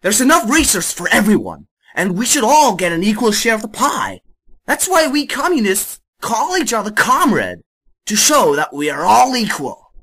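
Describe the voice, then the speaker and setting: A woman's voice: medium pitch, sing-song, moderate pace, fairly bright tone, breathy edge, exaggerated voice. A woman playing a zealous, foul-mouthed teenage boy revolutionary in an amateur fan fiction reading, with an emphatic, ranting delivery. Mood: fervent, indignant.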